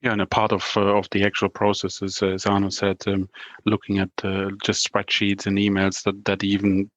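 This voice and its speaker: A man's voice: lowish voice, completely flat delivery, normal speed, a bit bright, no echo. A man co-hosting an interview, casual and hesitant, with frequent "uh" and "um". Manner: hesitant, many "uh" and "um" fillers. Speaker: podcast co-host. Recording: dry, dull lo-fi sound.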